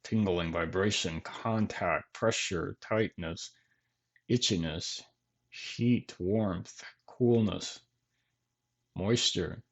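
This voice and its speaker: A man leading a guided body scan meditation in gentle, measured phrases with long pauses between them. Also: low voice, slightly rough, muffled recording. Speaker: meditation teacher.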